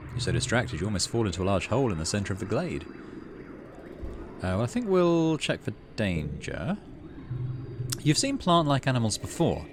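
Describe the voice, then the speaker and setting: Guy doing a casual lets play, lowish voice that goes up and down a lot, noisy background. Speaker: gaming channel host. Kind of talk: casual game playthrough, reading on-screen text aloud. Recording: noticeable background noise.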